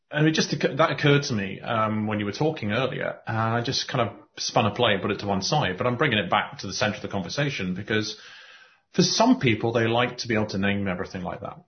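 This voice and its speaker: A man hosting a podcast conversation, talking in animated spurts and often restarting his sentences. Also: fairly low pitch. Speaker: podcast host and coach. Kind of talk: casual conversation. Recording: muffled lo-fi audio.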